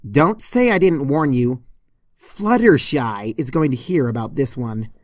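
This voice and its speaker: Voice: medium pitch, expressive, unhurried, no room sound, close to an everyday voice. A storyteller voicing an exasperated young female cartoon pony heroine, indignant and scolding, in a story reading. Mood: indignant, annoyed.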